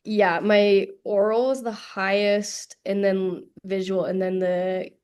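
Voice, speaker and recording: Young woman from Utah on a video call, mid-pitched, talkative and a little rambling. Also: slow pace, clear, resonant tone. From Salt Lake Valley, Utah. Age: 20s. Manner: talkative, steady, slightly rambling. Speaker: college student. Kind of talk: casual self-introduction on a video call.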